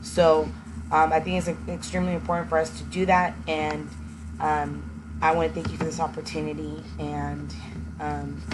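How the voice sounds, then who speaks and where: A young woman who grew up in Texas, a native Spanish speaker, earnestly telling her own story as an education student and pausing often with ums. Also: deep voice, level tone. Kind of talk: personal testimony. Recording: noisy with passing vehicle sounds.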